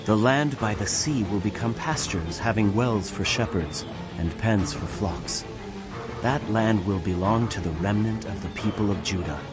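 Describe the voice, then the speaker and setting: Guy playing a grave prophet guy warning of doom, lowish voice, solemn scripture drama, music under it. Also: unhurried, lightly acted. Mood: grave proclamation. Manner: solemn, emphatic declamation. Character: grave male prophet narrator proclaiming coming doom.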